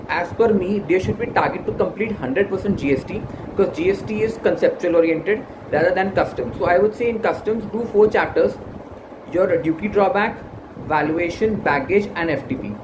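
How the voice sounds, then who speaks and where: A young man with an Indian English accent talks through exam strategy in an earnest, halting way, stumbling over fillers and restarting his sentences. He has a fairly high voice, and his first language is Hindi. The recording carries a roomy echo and clear background noise. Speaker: exam-prep YouTuber. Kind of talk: casual study-advice talk to camera. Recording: echoey room with background noise.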